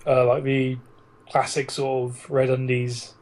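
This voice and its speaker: A man's voice: mid-range voice, completely flat delivery, measured pace, darkish tone. A British man co-hosting a podcast in casual, teasing, sweary banter with a friend. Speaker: podcast co-host.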